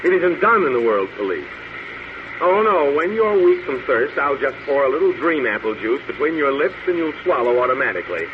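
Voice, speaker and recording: A man's voice: mid-range voice, sing-song, a little fast, close to an everyday voice. An actor playing a smug, crooked space policeman who taunts with mock friendliness in an old-time radio drama. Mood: smug, taunting. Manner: taunting, mock-friendly, savors his words. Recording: muffled noisy old radio recording.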